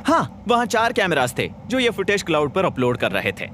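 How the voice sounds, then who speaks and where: A man narrating a scripted video in a playful, animated storytelling manner. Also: high-pitched, normal speed, noisy background.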